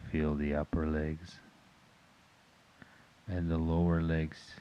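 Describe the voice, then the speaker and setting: A man leading a guided meditation in a calm, measured, instructional manner. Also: rumbling low, dull audio.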